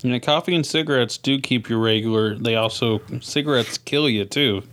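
A man's voice: medium pitch, a little fast, fairly bright tone. A man co-hosting a podcast, chatting casually with wisecracks and anecdotes in an offhand, mildly profane way. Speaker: podcast co-host.

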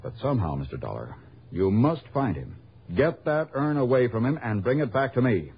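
An American actor playing an anxious, genteel reformed ex-convict in a 1960 radio drama, speaking hesitantly and earnestly. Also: male voice, mid-range voice, sing-song, moderate pace, slightly rough. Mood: earnest, urgent. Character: anxious reformed ex-convict robbery victim, proper and evasive. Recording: muffled old radio recording.

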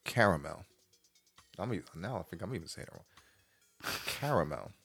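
A man's voice: low voice, animated, normal speed, vocal fry, fairly bright tone, slightly rough, faint music behind. A man with an American accent co-hosting a podcast, giving blunt opinions in casual, slangy banter.

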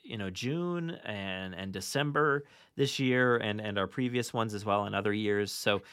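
A man's voice: lowish voice, unhurried, a bit bright, smooth, no room sound, studio quality. A man hosting a casual podcast chat, talking in an informal, rambling way. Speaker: podcast host.

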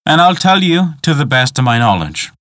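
A man's voice: on the high side, very animated, moderate pace, fairly bright tone, dry recording, studio quality. A man voicing an old peasant woman, a superstitious, folksy guide telling tales in dialect for a storybook. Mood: coy, folksy.

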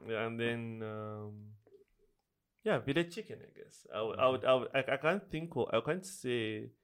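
A young Zambian man with a Zambian accent, mid-pitched and relaxed. He chats easily on a podcast and thinks aloud, pausing on fillers as he goes. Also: clear, resonant tone. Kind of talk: casual chat.

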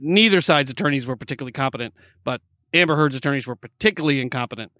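A fast-talking man with a bright, forceful voice delivers blunt legal opinions in casual podcast banter. He sits a little higher than most men and is recorded close and dry. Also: expressive, no echo. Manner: opinionated, blunt, talks over others. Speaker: podcast co-host, former lawyer.

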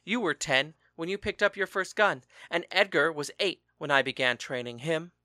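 A steady, soothing husband, as read by a man, answering in even, reassuring words. His voice is bright and close, with a dry, roomless sound. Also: fairly high pitch, normal speed, dry recording. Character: calm, steady husband, a fighter and musician.

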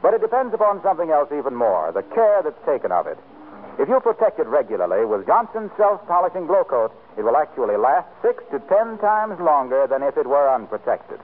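A man's voice: a little high, sing-song, brisk. A man announcing scripted commercials and credits in polished 1940s radio style. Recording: narrow-band 1940s radio recording, faint hiss.

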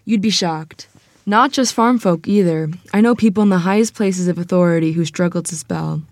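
An actress plays a proud, sturdy Southern church woman in her fifties. Her voice sits a little low, recorded close and dry. She teaches in a firm, motherly, matter-of-fact way, in a drama table read. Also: moderate pace, a little mellow, no echo, natural voice. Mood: firm, reassuring.

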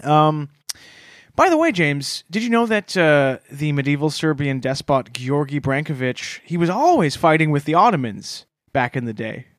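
Deadpan rambling man, dry close mic. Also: fairly high pitch, moderate pace, no echo. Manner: deadpan, mock-earnest rambling with many fillers. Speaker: podcast co-host. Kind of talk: deadpan comedic podcast banter.

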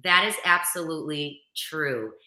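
A woman's voice: sits low, measured pace, sharp tone. Boston-accented woman in an echoey room. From Boston area, Massachusetts. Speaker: guest, motivational speaker. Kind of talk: casual interview chat.

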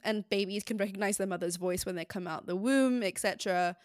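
A woman's voice: medium pitch, brisk, no echo, clean studio sound. A young woman co-hosting a podcast, chatty and curious, with lots of likes and ums. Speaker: podcast co-host. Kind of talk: casual chat.

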